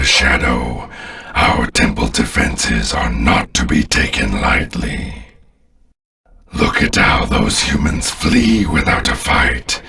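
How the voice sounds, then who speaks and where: A man voicing an ancient, menacing alien warlord in a video game, growling and gravelly, with an imperious, contemptuous, grandiose delivery. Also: rumbling low, pushed and bright, far from the mic, full character voice. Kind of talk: dramatic video game villain voice acting.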